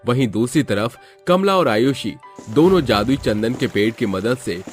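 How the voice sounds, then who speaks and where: A man's voice: mid-range voice, lively intonation, normal speed, faint music behind. A man narrating a scripted moral story as storyteller.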